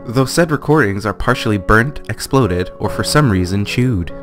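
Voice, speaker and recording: A man's voice: on the low side, animated, normal speed. A man co-hosting a let's play, chatting casually and reading a book aloud dramatically in a jokey way. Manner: jokey, easily amused. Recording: music behind the voice.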